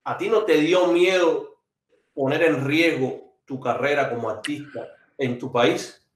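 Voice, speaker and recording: A man interviews a guest in a somewhat raised voice. His questions come out slowly, with hesitant repeats. The room around him sounds roomy and echoey. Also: on the high side. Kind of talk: conversational interview.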